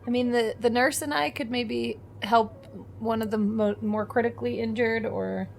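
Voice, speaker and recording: A woman's voice: fairly high pitch, fairly even intonation, normal speed, gravelly, a little hiss or hum. A woman chatting casually as a player in a tabletop roleplaying game, wry and a little hesitant as she improvises.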